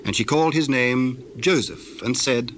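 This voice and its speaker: A man giving measured, formal scripted narration for a dramatized Bible reading. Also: mid-range voice, a bit bright, a little hiss or hum.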